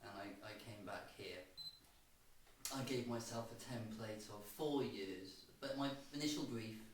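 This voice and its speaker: A middle-aged man from Cardiff, answering interview questions in a halting way, often repeating words and restarting. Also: mid-range voice. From Cardiff, Wales. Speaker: guitarist and producer. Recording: distant, very echoey room with faint noise.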